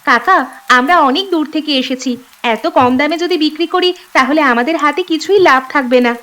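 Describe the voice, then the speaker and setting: A woman plays a pair of caring young sisters in a village cartoon. Her voice is very high, and she talks urgently and earnestly. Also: fairly quick, a bit bright. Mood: firm. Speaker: voice actor. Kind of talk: cartoon voice acting.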